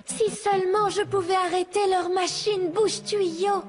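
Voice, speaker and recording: An actress dubbing a haughty young cartoon princess who speaks in a prim, commanding way. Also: female voice, extremely high pitch, steady tone, measured pace, music in the background. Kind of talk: cartoon dub acting.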